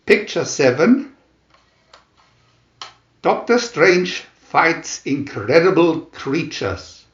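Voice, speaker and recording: A man narrates comic book captions in a theatrical announcer manner, very slowly and with long pauses. His voice is a bit high for a man and swings widely in pitch. The room adds noticeable echo. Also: expressive, highs cut off. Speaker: narrator reading View-Master booklet captions.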